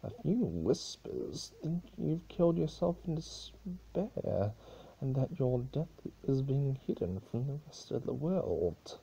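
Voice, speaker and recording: Storybook chaos spirit, played by a man: male, mischievous and mocking, gravelly, swinging pitch. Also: very animated, takes it slowly, mellow, muffled recording, heavily acted. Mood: mock gossip. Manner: mocking, melodramatic.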